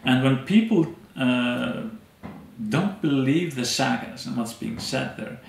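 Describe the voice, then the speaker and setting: Icelandic guy in his 30s, raspy low-gravel voice, lots of uhs, telling old stories. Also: expressive, slow pace, echoey room. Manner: hesitant, many fillers, gestures while telling stories. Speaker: interview guest. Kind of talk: conversational storytelling. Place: dim indoor room, seated in armchair.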